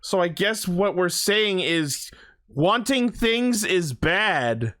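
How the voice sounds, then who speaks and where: A man's voice: high-pitched, animated, slow, a bit bright. A man co-hosting a podcast, giving a casual fan recap in an opinionated, sweary way. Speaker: podcast host.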